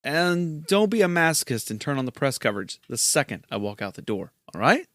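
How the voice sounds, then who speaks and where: Patient, teasing boyfriend. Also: male voice, medium pitch, sing-song, fairly quick, a bit bright, dry recording. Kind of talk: audio drama dialogue.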